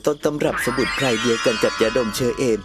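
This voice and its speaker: A man voicing a calm, reasonable ghost friend in a cartoon comedy, talking in a patient, placating way. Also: mid-range voice, quick pace, music in the background, lightly acted. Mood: knowing, explanatory.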